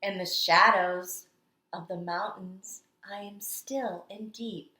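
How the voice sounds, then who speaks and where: Female kindergarten teacher for picture-book read-aloud: bright, slow, expressive and dramatic, recorded in a roomy, echoey space. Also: mid-range voice. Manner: dramatic, deliberate delivery with strong swells and hushed endings.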